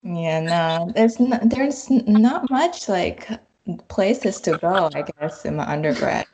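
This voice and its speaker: A woman chatting casually and haltingly, often searching for words. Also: fairly low pitch, animated, a little mellow. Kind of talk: casual group chat. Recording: clearly noisy background.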